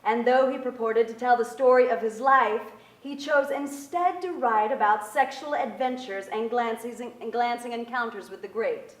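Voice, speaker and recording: A woman in her thirties giving a formal scripted narration from a stage. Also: on the high side. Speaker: presenter reading at a staged reading. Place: on a theater stage, indoors. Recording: echoey theater, distant pickup.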